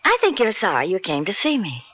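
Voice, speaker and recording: A woman's voice: mid-range voice, wildly swooping pitch, a little fast, fairly bright tone, no room sound. An actress playing a flirtatious, self-assured married woman in a radio drama, with a coy, teasing, intimate manner. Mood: coy, inviting. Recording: dull vintage radio recording.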